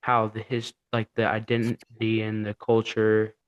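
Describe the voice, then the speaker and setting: A teenage boy hosting a student podcast, introducing a guest and asking halting questions with fillers. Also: lowish voice, completely flat delivery, clean tone, lo-fi sound.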